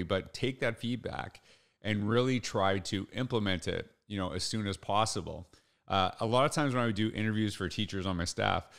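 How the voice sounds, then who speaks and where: A man's voice: lowish voice, gravelly, a bit bright. A middle-aged man hosting a casual solo podcast, speaking conversationally and earnestly, repeating points for emphasis, close to a desk microphone in a home office. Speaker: podcast host. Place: small home office, indoors.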